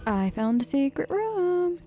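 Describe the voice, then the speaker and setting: A woman's voice: sits high, very animated, unhurried, mellow, soft background music. A young woman giving chatty, playful role-play commentary while playing a game, close on the mic. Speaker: let's play host.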